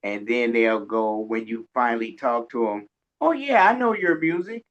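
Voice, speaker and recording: A man hosting a casual radio interview, talking in a halting way and often repeating words. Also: mid-range voice, animated. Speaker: radio show host and interviewer. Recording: dull lo-fi recording.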